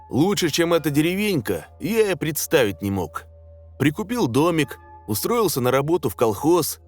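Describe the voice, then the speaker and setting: A man's voice: medium pitch, darkish tone. Guy narrating a creepy village tale, suspenseful, voice moves up and down a lot, music under it. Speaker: narrator of horror stories. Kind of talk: scripted dramatic narration of a scary story. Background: background music.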